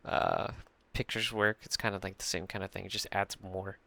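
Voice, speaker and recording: A young man with a low voice, rambling through a casual mixing tutorial at his computer, full of fillers and restarts. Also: flat delivery, moderate pace, vocal fry, sharp tone. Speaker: music producer explaining a mix. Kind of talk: casual screen-share tutorial. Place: small room at a computer.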